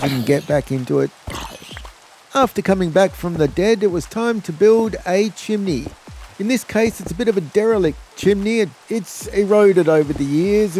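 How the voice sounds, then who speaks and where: A man's voice: high-pitched, vocal fry, darkish tone. An Australian man narrating a gameplay tutorial as the video's host, with a jokey, blokey, informal way of talking.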